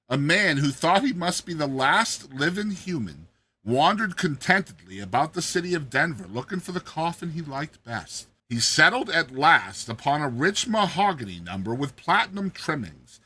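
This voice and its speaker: A man narrating a short story in a theatrical, expressive, dramatized reading style. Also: a little high. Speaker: narrator, host of a reading series.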